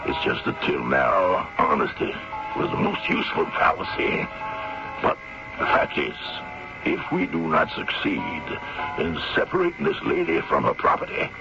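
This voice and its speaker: An actor in a radio drama playing a sly, greedy frontier schemer with a gravelly voice and deliberate, courtly phrasing. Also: male voice, a bass voice, huge pitch swings, heavily acted. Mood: sly, scheming. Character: scheming greedy frontier man, sly, smooth-talking and calculating. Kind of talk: old-time radio drama acting. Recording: muffled radio recording with music.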